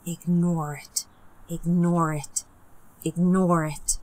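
A woman's voice: fairly low pitch, level tone, takes it slowly, a little mellow, poor audio. A woman voicing an earnest, timid seventh-grade girl who gets picked on, sincere and hesitant, in an audiobook. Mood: hurt, restrained.

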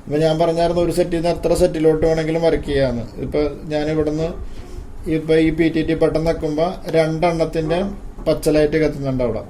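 A man giving an informal hands-on gadget demonstration, haltingly and repeating his phrases. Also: on the high side, flat delivery, dark tone, slight background noise. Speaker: tech video presenter.

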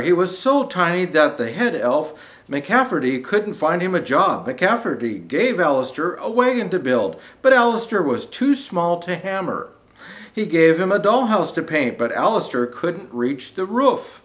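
An older man with a fairly high voice reads a storybook to children. His pitch moves around in an animated way. He has a folksy storyteller manner, pausing to talk straight to his young listeners. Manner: folksy, addressing young viewers directly. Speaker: storyteller in a Santa persona. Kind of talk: reading a children's storybook aloud. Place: small indoor decorated studio set.

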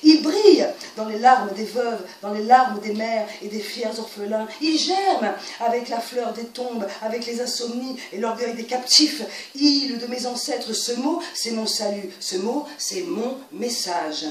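High-voiced woman declaiming poetry in an echoing room. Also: a little slow, few pauses. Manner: solemn, emphatic, incantatory delivery. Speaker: poetry reciter. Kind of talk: declaimed dramatic recitation of lyric poetry. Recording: roomy, distant mic, faint noise.

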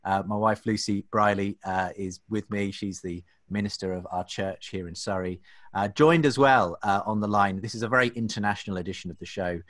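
A man's voice: lowish voice, a little fast, a bit bright, no echo, studio quality. A man in his thirties hosting a podcast from home, chatty and full of fillers and restarts. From England. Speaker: host. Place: home room. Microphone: desk microphone, webcam.

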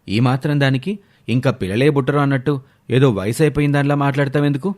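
A man playing a sensible, mildly exasperated husband in a family story, reasoning and gently chiding. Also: medium pitch, fast, close to an everyday voice.

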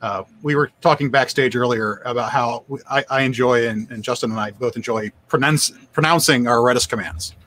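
Halting older man hosting. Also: medium pitch, a bit bright, a little hiss or hum. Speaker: host, developer advocate. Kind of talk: casual live-stream chat. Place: indoor home room, on a video call.

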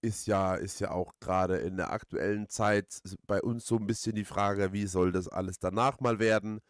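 A man's voice: lowish voice, fairly even intonation, normal speed, no echo, clean studio sound. A man giving casual let's play commentary as host, rambling hesitantly and repeating his phrases.

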